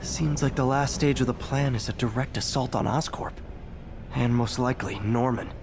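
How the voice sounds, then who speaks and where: A man's voice: mid-range voice, fairly even intonation, normal speed, background noise, natural voice. A man playing an earnest young superhero in a video game, thinking aloud in a conversational way. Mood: puzzled, focused. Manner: conversational, musing to himself. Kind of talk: naturalistic video game acting.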